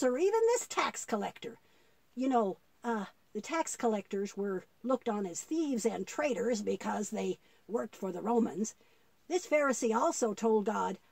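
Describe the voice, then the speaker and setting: Woman voicing a patient, slow-talking old grandfather puppet. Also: on the high side, creaky, a little mellow, breathy edge, no echo, full character voice. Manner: measured, explanatory, quotes scripture. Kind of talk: puppet show dialogue for children.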